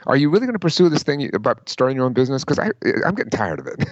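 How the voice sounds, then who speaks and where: A man giving career advice in an interview, emphatic and lively, often quoting people in mock voices. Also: mid-range voice, racing, no echo, muffled recording. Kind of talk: conversational interview.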